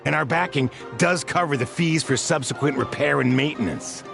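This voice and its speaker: Gravelly, composed soldier. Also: male voice, on the high side, music in the background. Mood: serious, firm. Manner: formal, measured, serious. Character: composed, formal male soldier of a guard order. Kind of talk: video game voice acting.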